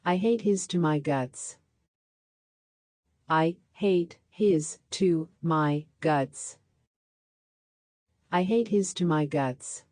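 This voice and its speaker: A woman reading practice sentences aloud for a language lesson, saying each one three times with a slowed repeat. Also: deep voice, warm tone, no echo, clean studio sound. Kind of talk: slow scripted sentence drills.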